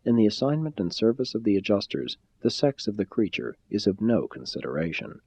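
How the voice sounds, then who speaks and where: A man giving a formal scripted reading of a spiritual text in a measured, deliberate, solemn way. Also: lowish voice, sing-song, a little mellow, breathy edge, dry recording. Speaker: audiobook-style reader. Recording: slightly dull, muffled sound.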